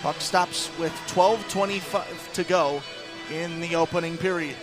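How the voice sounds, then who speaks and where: A male sports announcer with a high-pitched voice calls an ice hockey game live on the radio. He follows the puck with quick, descriptive calls and raises his voice as the action builds. Music plays clearly behind him. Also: steady tone.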